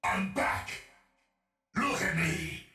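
A man's voice: high-pitched, expressive, extremely slow, distant mic, full character voice. A man voicing a paranoid undead cyborg killer in a video game, with a robotic, menacing growl and clipped, unhinged delivery. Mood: paranoid, agitated. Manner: clipped, unhinged muttering swinging into shouts. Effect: robotic voice filter. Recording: echoey game audio with music behind.

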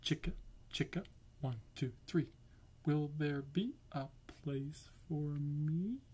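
A man's voice: a little high, takes it slowly, a little mellow, husky, pitched below the performer's own voice. Man voicing a plaintive little left-out number. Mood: worried. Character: small left-out number zero, worried, plaintive, childlike. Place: small indoor room.

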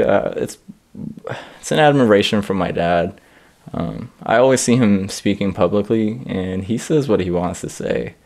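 A young man from Iraq talks in a studio interview about his stand-up work. His voice sits fairly low, and his tone is thoughtful and unhurried. He chuckles now and then as he speaks. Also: gravelly, darkish tone. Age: young adult. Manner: reflective, laughs as he talks. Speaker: stand-up comedian. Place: studio against a plain grey backdrop.

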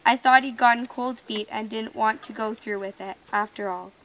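A woman's voice: a little high, steady tone, normal speed, a bit bright, slight background noise, poor audio. Young woman reading as an anxious bride-to-be. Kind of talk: reading a scripted ghost-story monologue.

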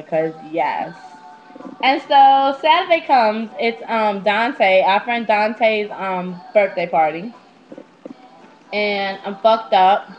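A woman in her twenties telling personal stories casually to her webcam, candid, slangy and freely swearing, recorded on a small netbook mic. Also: mid-range voice. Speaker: vlogger. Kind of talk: casual confessional storytelling to webcam. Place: small indoor room at home. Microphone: netbook's built-in webcam mic. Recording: dull lo-fi webcam audio.

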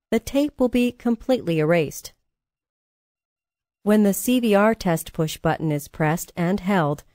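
A woman reading scripted training narration in a measured, formal manner, as a course narrator. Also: fairly low pitch, darkish tone, no room sound, clean studio sound.

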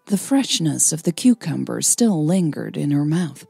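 A woman's voice: low voice, very animated, normal speed, vocal fry, darkish tone, slightly rough, no room sound, soft background music. A woman hosting a podcast and narrating a romance story, sounding a little tired. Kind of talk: scripted romance narration and casual podcast outro.

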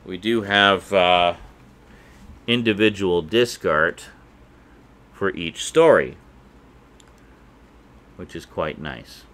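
Low-pitched man, chatty vlogger. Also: drawn-out words, long pauses, a bit bright. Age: middle-aged. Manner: chatty, informal, slangy. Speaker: video host reviewing collectibles. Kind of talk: casual unscripted vlog review. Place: small indoor room with shelves. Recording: clearly noisy room recording.

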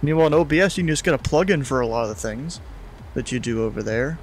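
A man's voice: medium pitch, animated, fast. A young man hosting a live stream from his home room, talking bluntly and casually with lots of slang. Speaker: streamer host.

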